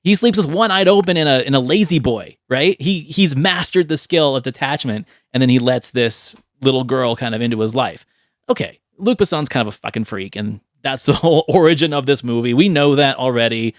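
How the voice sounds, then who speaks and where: A man's voice: mid-range voice, expressive, fairly quick, no echo. Conversational male podcast host, dry sound. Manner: conversational, tags lines with "right?", casual swearing. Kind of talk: casual movie chat.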